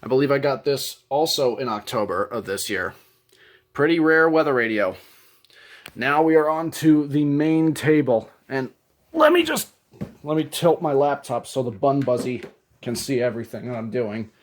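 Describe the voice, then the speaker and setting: A man's voice: mid-range voice, very animated, normal speed. Man casually showing off his collection. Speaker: hobbyist presenting a radio collection. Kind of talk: casual show-and-tell narration.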